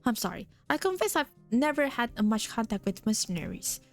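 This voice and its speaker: A woman voicing a warm, rambling older man who keeps a hotel, with faint music behind. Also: on the high side, sing-song, brisk. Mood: fond. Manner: rambling, fond, nostalgic. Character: warm, chatty older male hotel keeper.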